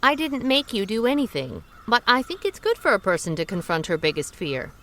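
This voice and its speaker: A woman's voice: fairly high pitch, sing-song, fairly bright tone. An actress playing a headstrong young woman who narrates her own story wryly and bosses her friend around. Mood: earnest. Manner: wry, brisk storytelling. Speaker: narrator and boss. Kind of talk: radio drama narration and acting.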